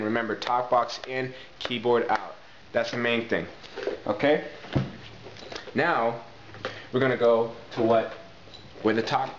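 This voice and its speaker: A man's voice: mid-range voice, fairly bright tone. A man presenting a casual hands-on how-to video in an informal way, addressing viewers as guys and repeating key points for emphasis. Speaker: tutorial presenter, talk box player. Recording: muffled, echoey, noisy amateur video sound.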